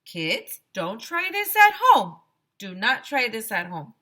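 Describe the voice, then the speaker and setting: A woman reading a storybook voices a brisk, eccentric woman safety instructor in an emphatic, instructive manner. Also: a little high, wildly swooping pitch, bright, forceful tone, voice pushed up, heavily acted. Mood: emphatic warning.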